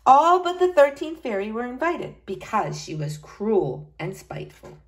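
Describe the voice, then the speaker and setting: A woman's voice: on the high side, very animated, measured pace, a little hiss or hum. A woman reading a storybook aloud to young children, expressively, in the manner of a school librarian.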